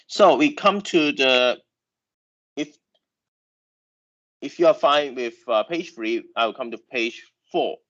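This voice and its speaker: A man's voice: mid-range voice, takes it slowly, long pauses, fairly bright tone, lo-fi sound. A man whose first language is Chinese, lecturing online about engineering, who halts and repeats words with many fillers.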